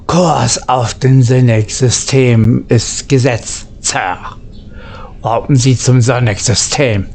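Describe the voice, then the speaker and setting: A man's voice: mid-range voice, slow pace, creaky, darkish tone, a little hiss or hum. A man plays through a sci-fi game in character as a starship captain. His voice sits in a middle register as he speaks the captain's replies and orders. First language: German. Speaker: gamer role-playing a starship captain. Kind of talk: in-character game role-play narration.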